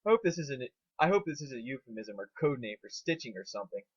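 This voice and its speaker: A man's voice: mid-range voice, sing-song, fast talker, slightly rough, no echo, lo-fi sound. A man voicing a worried young male dragon sidekick, protesting and pleading in an amateur dramatic reading. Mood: alarmed, pleading. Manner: alarmed, pleading.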